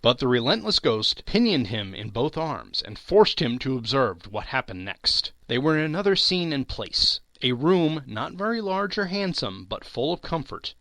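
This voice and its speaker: A man giving a dramatic scripted storybook narration with theatrical, expressive, deliberate phrasing. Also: medium pitch, no echo. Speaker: audiobook narrator, voice actor.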